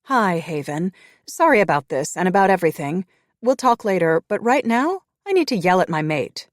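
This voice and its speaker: Narrator doing a feisty young woman, high and loud, dry close sound. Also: big pitch swings, moderate pace, no echo. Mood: exasperated. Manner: high-pitched, loud, indignant.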